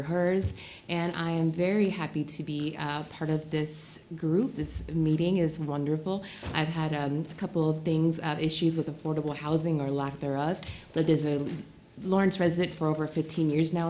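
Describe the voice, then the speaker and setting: Middle-aged woman, deep-pitched, introducing herself at a table mic; light background hiss. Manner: self-described big voice, warm introduction with fillers. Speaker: new board member. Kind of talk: self-introduction at a public meeting. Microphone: table microphone.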